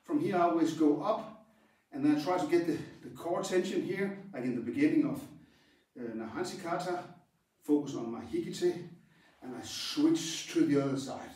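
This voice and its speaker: A man with a fairly high voice walks through karate stances while talking, in a plain and halting way with pauses between moves. He stands well back from the camera in a large dojo. The room rings with a strong echo. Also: warm tone. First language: Danish. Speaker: karate instructor. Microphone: camera some distance away while he moves. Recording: very echoey hall, voice far from the mic.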